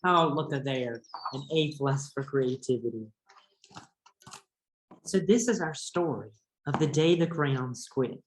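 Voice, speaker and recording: A man's voice: medium pitch, unhurried, pauses often. Man teaching a read-aloud in an echoey room. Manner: instructive, addressing young pupils. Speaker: teacher reading a picture book aloud. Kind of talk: read-aloud lesson with explanations and questions.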